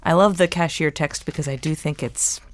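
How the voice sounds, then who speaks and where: A woman's voice: sits low, a little fast. A woman actor and playwright on a panel, talking casually and reflectively, tentative as she searches for words.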